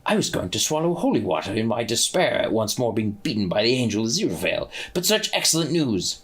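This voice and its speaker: A man's voice: mid-range voice, sing-song, quick pace, fairly bright tone, breathy edge, pitched above the performer's own voice. A man voicing a scheming male demon who hams up villainous lines. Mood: hammy. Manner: hammy, overacted villain speech.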